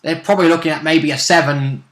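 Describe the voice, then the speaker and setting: A man co-hosts an informal conversation about tabletop gaming. His voice sits a little high and has a bright, sharp edge. The recording is noticeably echoey, like an untreated room. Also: fairly even intonation, fast. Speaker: co-host and tabletop game player. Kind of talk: casual chat about game rules. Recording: roomy, echoing sound.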